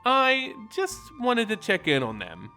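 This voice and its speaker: A man's voice: sits high, huge pitch swings, measured pace, pushed and bright, faint music behind, voice pushed up, heavily acted. A man voicing an earnest, plain-spoken heroine in a fantasy storybook reading.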